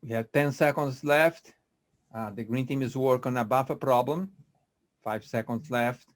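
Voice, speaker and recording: Man, quiz moderator, video call, measured, filler pauses. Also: mid-range voice. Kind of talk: hosting an online quiz.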